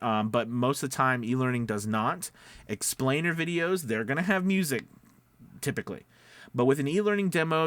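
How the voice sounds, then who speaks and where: A man's voice: mid-range voice, no room sound. A man talking casually as a voice-over coach, explaining things step by step in a conversational, emphatic way and often checking in with listeners.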